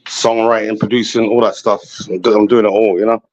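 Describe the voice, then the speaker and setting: A man's voice: lowish voice, level tone, brisk, darkish tone, a little hiss or hum. Rambling man on a muffled call line. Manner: loose, repeats "you know what I mean". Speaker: guest on a call-in talk show. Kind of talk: rambling casual conversation. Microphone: online voice call.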